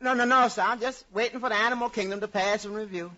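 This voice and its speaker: A man's voice: high-pitched, fairly even intonation, fast talker, lightly acted. An actor playing a deferential, wry handyman sidekick with a hesitant, polite manner in an old radio comedy. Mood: wry, bemused. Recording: noisy, clicking, muffled old radio recording.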